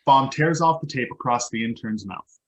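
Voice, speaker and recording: A woman's voice: rumbling low, animated, moderate pace, low-quality recording. A woman reading out stage directions as plain scripted narration for a play.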